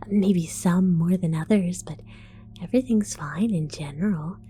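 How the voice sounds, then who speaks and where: A woman voicing a scatterbrained female mad scientist in an ASMR roleplay, whispering softly, giggling and stammering as she falsely reassures. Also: lowish voice, a little slow, natural voice. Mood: sly whisper. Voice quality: soft whisper.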